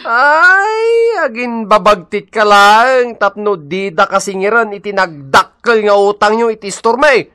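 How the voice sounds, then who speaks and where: A scheming, teasing male shopkeeper in a comic radio drama. He has an Ilocano accent and a high, bright voice that swings widely in pitch. The delivery is exaggerated and exclamatory, recorded close and dry. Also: expressive, a little slow, few pauses, smooth, no echo, heavily acted. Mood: gloating, insistent.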